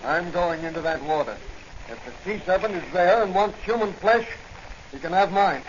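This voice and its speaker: Man doing a desperate stubborn beach boss, high voice, old 1940s radio drama, muffled and a bit noisy. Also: moderate pace, natural voice. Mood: desperate, defiant. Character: desperate, stubborn beach owner with nothing to lose.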